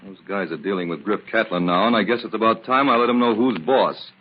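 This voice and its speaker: An actor playing a bossy, cynical gangster racket boss with tough, slangy talk in an old-time radio drama. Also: male voice, on the low side, brisk, natural voice. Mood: menacing, commanding. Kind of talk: 1940s radio crime drama acting. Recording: muffled, noisy old radio recording.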